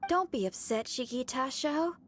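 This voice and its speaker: A woman's voice: high-pitched, lively intonation, normal speed, a bit bright, slight background noise. A woman voicing a spirited, big-hearted young adventurer in a video game, talking in a casual, folksy, encouraging way. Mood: comforting.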